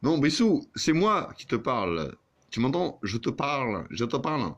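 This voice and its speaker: A man's voice: mid-range voice, sing-song, normal speed, highs cut off. A man chatting casually as an amateur radio operator, with crude, joking, sweary banter.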